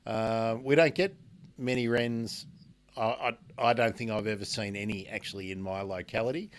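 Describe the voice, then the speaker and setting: An Australian man hosting a radio show, chatting casually with a guest and pausing often to restart his sentences. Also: fairly low pitch, steady tone. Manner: slow, halting, lots of restarts and fillers. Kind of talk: casual radio interview chat.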